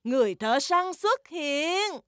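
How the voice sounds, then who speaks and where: A woman voicing a kindly animal schoolteacher, narrating a children's play in a measured, theatrical way for a cartoon. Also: high-pitched, very animated, a bit bright, no room sound, studio quality, full character voice. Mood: presenting. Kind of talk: children's cartoon dub, storytelling.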